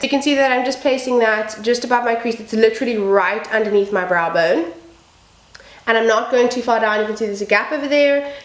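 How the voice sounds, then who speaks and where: Croaky sniffly woman chatting through her makeup, upbeat, bit of room echo. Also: medium pitch. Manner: chatty, upbeat, self-deprecating asides. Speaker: beauty vlogger. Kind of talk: casual makeup tutorial chat. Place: home room with building noise outside.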